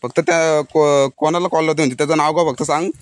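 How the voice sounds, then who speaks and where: A man's voice: a little high, fairly even intonation, fast talker, dry recording. A young man with a Maharashtrian accent making prank calls in a casual, conversational style. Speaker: prank caller in a vlog. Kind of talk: casual phone chat.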